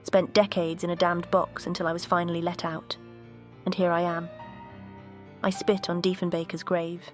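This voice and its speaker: Woman as a low-voiced, composed lady jet character. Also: little pitch movement, moderate pace, faint music behind, natural voice. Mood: bitter. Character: composed, bitter but grateful female vintage jet museum exhibit. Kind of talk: scripted character dialogue.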